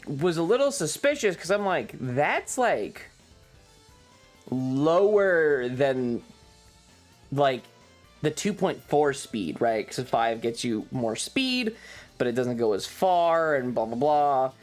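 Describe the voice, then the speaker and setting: A streamer rambling casually through a personal story in an exasperated, sweary way, with halting, repetitive phrasing. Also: medium pitch, very animated, faint music behind.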